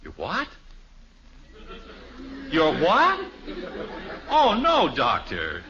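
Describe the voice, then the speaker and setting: Lively, wry, flustered radio dad. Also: male voice, a little high, very slow, a crowd in the background, heavily acted. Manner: wry, excitable, exasperated when pressed. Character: wry, flustered suburban father and husband. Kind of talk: old-time radio family sitcom acting. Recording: muffled old radio recording, faint hiss.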